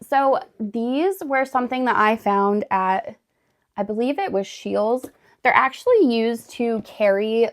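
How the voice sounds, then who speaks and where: A woman vlogging casually at home, still hoarse and raspy after being sick, chatting with lots of "like" and "really" as she sorts gardening supplies. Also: a little high. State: hoarse from recent illness. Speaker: homestead vlogger. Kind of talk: casual vlog chat. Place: indoors at home, sorting gardening totes.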